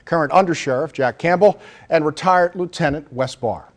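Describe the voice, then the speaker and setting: A man's voice: a little high, moderate pace. A man with an American accent reading a scripted local television newscast as anchor. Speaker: news anchor. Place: TV news studio.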